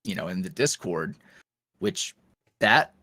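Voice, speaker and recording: A man's voice: deep voice, sing-song, slow pace, creaky, bright, forceful tone, no room sound. A man, an ASMR roleplay creator, chatting as a guest in a casual interview, rambling with many fillers and restarts.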